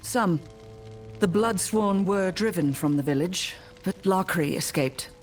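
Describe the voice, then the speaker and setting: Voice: medium pitch, measured pace, soft background music, natural voice. A performer playing a stoic, self-reliant necromancer adventurer in a fantasy game, terse, formal and reserved. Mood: businesslike.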